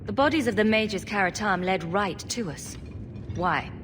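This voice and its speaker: A woman plays a compassionate young mage adventurer in a fantasy video game. Her medium-pitched voice is measured and earnest, with faint noise behind it. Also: animated, natural voice. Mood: reproachful. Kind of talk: video game cutscene acting.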